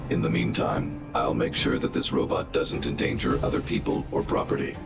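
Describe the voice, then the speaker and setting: An actor voicing a huge, stoic guardian robot that gives flat, logical reports in percentages, his voice processed to sound robotic. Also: rumbling low, normal speed. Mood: calm analytic. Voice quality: gravelly, flat mechanical delivery. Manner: cold analytic reports in percentages. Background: game music.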